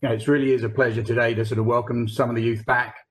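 A man talks through a research project in a measured, conversational way. He hesitates often, filling gaps with "um" and "uh" and restarting phrases as he thinks. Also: medium pitch, little pitch movement, fairly bright tone. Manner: hesitant, many fillers and restarts. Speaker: corporate real estate research lead. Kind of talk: conversational podcast explanation.

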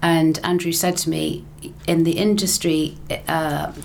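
A woman's voice: sits low, flat delivery, a little slow, creaky, a little mellow, a little hiss or hum. A middle-aged woman, casually telling her story in an interview, chatty and laughing easily. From England. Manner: chatty, laughs often, repeats phrases. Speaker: interview guest, broadcaster and lecturer.

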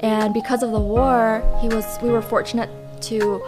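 A young woman speaking as a podcast guest, reflective and unhurried, pausing often with fillers as she thinks. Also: a little high, level tone, over music. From United States. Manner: reflective, with many pauses and fillers. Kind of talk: casual interview.

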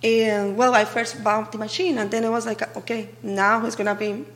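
A woman's voice: a little high, flat delivery, moderate pace, a little hiss or hum. A woman with a Costa Rican Spanish accent, chatting casually as an interview guest and acting out remarks as she tells stories. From Costa Rica. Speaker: guest, coffee business owner.

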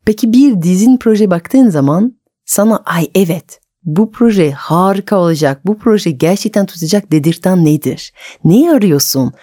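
Low, bright-voiced woman with a French accent. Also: animated, normal speed, dry recording, studio quality. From France. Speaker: radio host, storyteller. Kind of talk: conversational interviewing.

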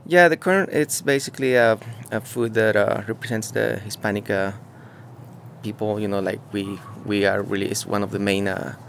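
Man, low-pitched, halting with fillers, outdoors, faint traffic behind. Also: fairly even intonation, creaky. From Mexico. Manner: halting, searching for words, many fillers and restarts. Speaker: muralist interviewee. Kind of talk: casual on-site interview. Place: outdoors beside a mural.

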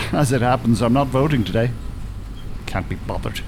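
A man's voice: mid-range voice, normal speed, darkish tone, slight background noise, lightly acted. An actor playing an eloquent, cantankerous Dublin man in his seventies in a radio drama, wordy and pompous. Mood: wry, genial. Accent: Dublin Irish. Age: 70s. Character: eloquent, cantankerous, world-weary older Dublin gentleman.

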